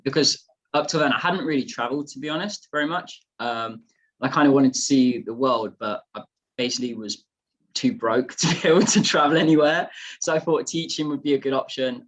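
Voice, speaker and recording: A man's voice: medium pitch, a bit bright, low-quality recording. A man in his twenties with an English accent, talking casually as a podcast guest. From England. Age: 20s. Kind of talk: casual chat.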